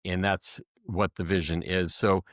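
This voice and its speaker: American male interviewer, deep voice, conversational podcast hosting, dry studio-like sound. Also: level tone, measured pace, no echo. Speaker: podcast host.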